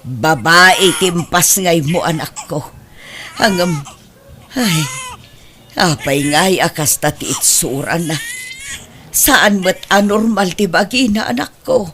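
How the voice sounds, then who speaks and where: A woman's voice: fairly low pitch, expressive, slow pace, pauses often, fairly bright tone. A woman playing a concerned, plain-spoken older village mother in an audio drama, with an Ilocano Filipino accent. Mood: troubled. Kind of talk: melodramatic radio-style drama acting.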